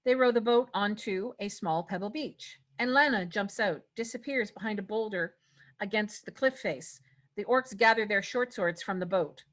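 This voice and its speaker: Mid-pitched woman narrating a script. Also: normal speed, a bit bright. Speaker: narrator reading stage directions. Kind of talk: scripted narration at a table read.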